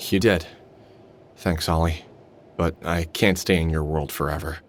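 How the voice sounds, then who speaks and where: Deep-voiced, weary middle-aged game hero. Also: male voice, fairly even intonation, measured pace, a little hiss or hum. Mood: dry, wary. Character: weary, wry middle-aged man with cybernetic arm. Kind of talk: scripted video game voice acting. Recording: music with guitar behind the voice.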